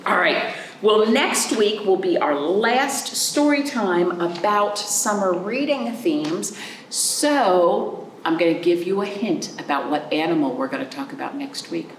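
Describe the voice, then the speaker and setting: Lady librarian talking to little kids, super lively pitch, echoey room w some noise, asks lots of questions. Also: unhurried. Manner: simple, chatty explanations with questions to the listener. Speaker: children's librarian hosting virtual storytime. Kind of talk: storytime talk to young children. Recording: roomy echo, clear background noise.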